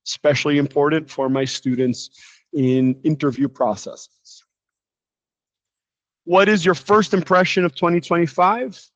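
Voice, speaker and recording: A man's voice: on the high side, a little slow, a bit bright, no echo, highs cut off. A man who grew up bilingual in Portuguese and English, teaching a chatty English lesson and asking listeners questions. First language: bilingual, grew up speaking Portuguese and English. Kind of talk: informal English lesson monologue.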